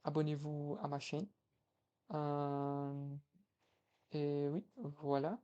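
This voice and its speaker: Young brazilian guy vlogging, slow and halting, mellow flat voice, kinda dull lo-fi audio. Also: on the high side, clear, resonant tone. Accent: Brazilian Portuguese. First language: Portuguese. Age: young adult, 21. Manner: hesitant, halting, many fillers and restarts. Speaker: language-learning vlogger. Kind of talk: casual vlog, practicing foreign languages.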